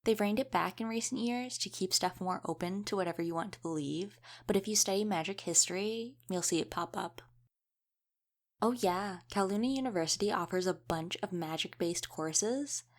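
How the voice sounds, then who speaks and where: A woman's voice: mid-range voice, moderate pace, lightly acted. A woman playing an easygoing young female wolf shifter in a casual audio roleplay, chatting and explaining things informally. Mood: chatty, informative.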